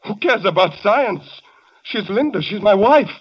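A man's voice: high-pitched, lively intonation, measured pace, slightly rough, heavily acted. A man acting an anguished young husband in a 1940s radio drama, pleading and breathless, repeating himself. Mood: anguished anger. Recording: dull lo-fi old radio recording.

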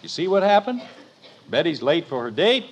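A man's voice: a little high, sing-song, moderate pace, lo-fi sound. A man playing an exasperated, lecturing father in an old-time radio sitcom, with a dry, put-upon manner.

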